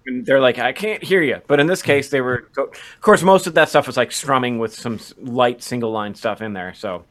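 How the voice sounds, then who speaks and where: A man's voice: medium pitch, brisk, fairly bright tone. Man chatting casually, stammering restarts. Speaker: podcast co-host. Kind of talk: casual podcast chat.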